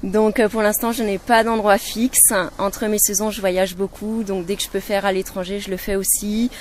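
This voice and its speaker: A woman in her thirties from Brittany, a lifeguard and volunteer, answering interview questions in a relaxed, hesitant way. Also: medium pitch, normal speed, slight background noise. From Brittany, France. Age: 30s.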